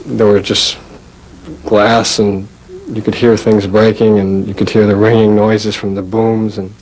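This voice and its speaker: Halting, low-voiced young man testifying. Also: level tone, darkish tone, low-quality recording. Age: 20s. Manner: halting, broken phrases. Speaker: defendant testifying in court. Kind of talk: courtroom testimony. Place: courtroom.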